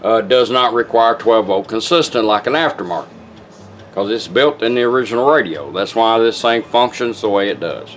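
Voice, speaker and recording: A man's voice: lowish voice, lively intonation, fairly quick. A man giving a casual, folksy hands-on product demonstration in plain words and short step-by-step instructions. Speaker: car radio restorer giving a product demonstration. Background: car radio playing news and music.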